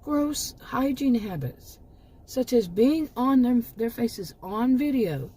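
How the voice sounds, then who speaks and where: A woman's voice: on the high side, expressive, slow, mellow, breathy edge, low-quality recording. A middle-aged woman hosting her own channel, giving a rambling, emphatic opinion monologue with many restarts and asides while she is losing her voice. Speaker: commentary channel host.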